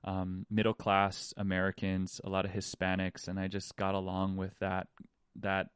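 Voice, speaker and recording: A man's voice: sits low, completely flat delivery, normal speed, smooth, no echo, studio quality. Candid man musing aloud. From Hawaii. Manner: candid, rambling, with many restarts and fillers. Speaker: podcast host. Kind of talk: reflective personal monologue.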